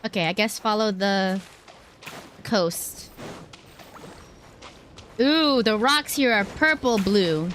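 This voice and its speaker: A woman's voice: mid-range voice, lively intonation, takes it slowly, pauses often, fairly bright tone, a little hiss or hum. A woman chatting casually and playfully to viewers as she plays a video game, often exclaiming as she goes. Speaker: streamer playing a video game.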